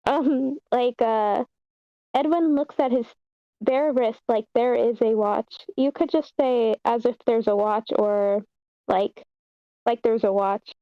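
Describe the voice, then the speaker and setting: Higher-voiced woman giving casual feedback. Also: takes it slowly, dry recording, dull audio. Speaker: script feedback participant at an online table read. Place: online video meeting.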